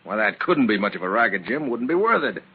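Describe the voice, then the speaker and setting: An actor playing a plain-spoken, skeptical police inspector in an old-time radio drama, blunt and questioning. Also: male voice, lowish voice, very animated, fast talker, slightly rough, narrow, tinny audio, heavily acted.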